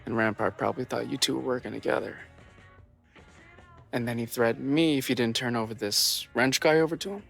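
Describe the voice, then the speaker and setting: A man's voice: medium pitch, fairly quick, gravelly, fairly bright tone, breathy edge, faint music behind. An actor playing a stubborn, earnest young man in a video game, speaking casually and matter-of-factly. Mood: casual, determined. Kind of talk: video game dramatic acting.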